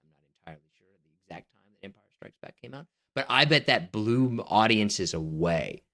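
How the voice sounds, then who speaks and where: A man's voice: on the low side, expressive, fairly quick, bright, forceful tone. A man co-hosting a casual movie podcast, bantering in an opinionated, emphatic way and needling his co-host.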